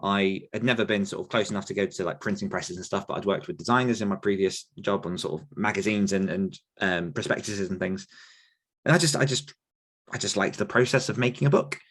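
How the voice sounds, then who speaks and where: A man with a lowish voice hosts an author podcast in an easy, casual way. He rambles, circles back and restarts his sentences often. His tone is conversational and unhurried. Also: a bit bright. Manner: loose, rambling with frequent restarts and fillers. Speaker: podcast host and author coach.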